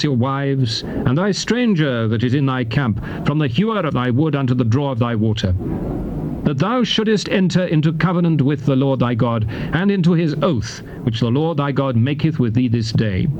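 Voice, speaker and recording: A British man reads scripture as a dramatized narration. His voice sits a little high for a man, and he declaims solemnly in measured phrases. The recording is an old cassette transfer with clear noise behind the voice. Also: muffled recording. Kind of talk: dramatized King James Bible reading.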